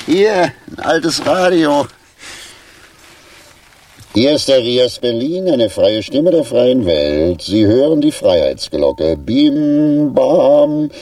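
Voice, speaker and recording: An actor playing a blunt, mocking homeless man in a radio play, with a gravelly voice. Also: sing-song, very slow, darkish tone, full character voice. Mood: mocking, excited. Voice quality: very deep, gravelly. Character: coarse, sardonic homeless drifter, ex-lathe worker from Kreuzberg. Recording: 1980s radio drama with faint noise.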